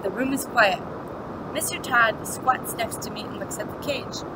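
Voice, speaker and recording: A woman's voice: on the high side, moderate pace, very noisy. A young adult woman reads a picture book aloud like a teacher, explaining things simply for small children. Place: indoor classroom, seated.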